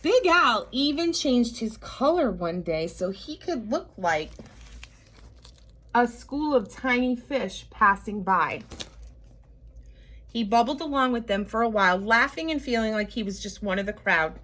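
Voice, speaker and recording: A woman reading a picture book aloud to young children, with expressive drawn-out emphasis and chatty asides to her listeners. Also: on the high side.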